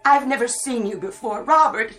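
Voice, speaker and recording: A woman's voice: a little high, normal speed, fairly bright tone, close to an everyday voice. An actress playing an anxious, protective mother in a radio drama, speaking hesitantly. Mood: wary, anxious. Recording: radio drama with music underneath.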